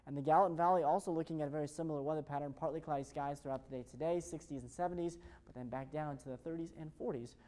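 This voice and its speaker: A man's voice: a little high, steady tone, very fast, few pauses, warm tone. An American man presenting an ad-libbed television weather forecast in an upbeat, chatty way.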